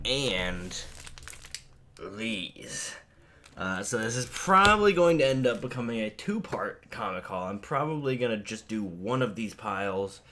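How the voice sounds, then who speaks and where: Hoarse man with a head cold. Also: fairly low pitch. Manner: rambling, many fillers. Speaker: comic book channel host. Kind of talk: casual haul video talk.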